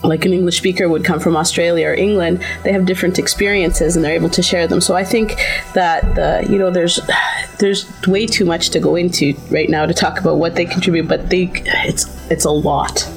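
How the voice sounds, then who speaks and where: Gravelly-voiced woman, music underneath. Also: lowish voice, fairly even intonation, fairly quick, low-quality recording. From abroad. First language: Finnish. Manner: thoughtful, rambling with restarts. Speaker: interviewee. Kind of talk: casual interview answers.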